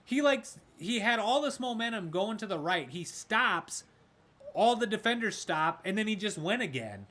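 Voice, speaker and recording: Excitable man, raspy from shouting. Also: high voice, normal speed. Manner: excitable, shouts at big plays. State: raspy from screaming. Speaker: gaming streamer doing play-by-play. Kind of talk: casual live sports commentary.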